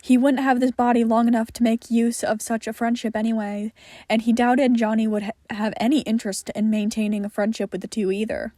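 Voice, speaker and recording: A woman's voice: on the high side, flat delivery, a little fast, warm tone, dry recording, studio quality. A woman reading scripted fiction aloud as the narrator of a story recording. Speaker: podfic narrator.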